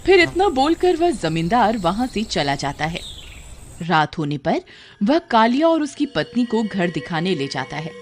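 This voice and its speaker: A woman's voice: medium pitch, sing-song, measured pace, a little hiss or hum. A woman narrating a scripted cartoon horror tale in a dramatic storytelling manner. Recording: loud music under the voice. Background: loud background music.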